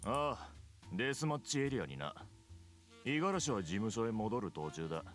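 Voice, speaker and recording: A laid-back gangster from a Japanese visual novel. His voice sits fairly low and moves freely in pitch, with faint music behind it. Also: big pitch swings, a little fast, a bit bright. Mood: casual.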